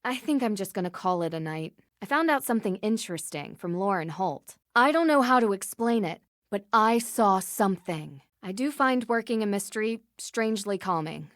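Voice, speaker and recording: A woman's voice: mid-range voice, lively intonation, moderate pace, hardly any pauses, dry recording, clean studio sound, close to an everyday voice. A woman voicing an earnest, curious young amateur detective in a video game, with a sincere conversational delivery. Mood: thoughtful, steady.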